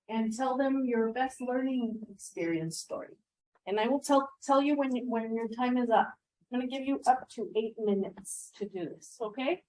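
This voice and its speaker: A middle-aged woman leading a teaching workshop in a classroom, engaging the group with questions and echoing their answers. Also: high-pitched. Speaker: workshop presenter.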